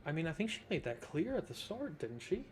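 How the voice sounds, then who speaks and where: A man's voice: a little high, very animated, brisk, a little hiss or hum. A man giving casual gameplay commentary as a streamer, with wry asides. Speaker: game streamer.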